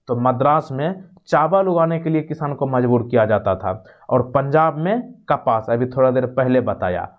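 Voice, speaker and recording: A man teaching a quiz lesson, reading out questions, rephrasing them and giving answers in an explanatory way. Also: on the high side. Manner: explanatory, repeats and rephrases each question, checking tags. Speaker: exam-prep teacher. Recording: dull, lo-fi sound.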